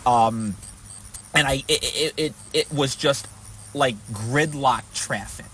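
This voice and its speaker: A man casually recalling an experience off the cuff, halting and repeating words as he searches for phrasing. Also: medium pitch, a bit bright, slight background noise. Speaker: storyteller recounting a protest. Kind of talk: casual unscripted recollection. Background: music.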